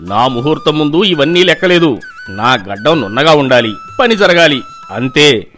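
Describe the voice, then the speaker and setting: An imperious village landlord from a storybook, acted by a man. He is commanding and quick to threaten, with a highish, very animated delivery and a faint music bed. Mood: stern, impatient. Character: imperious, superstitious, hot-tempered male village landlord.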